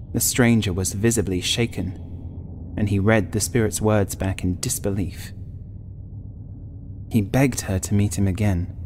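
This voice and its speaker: A man reading a scripted first-person horror story as an audiobook narrator, in a measured, literary way. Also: fairly low pitch, a little mellow, a little hiss or hum.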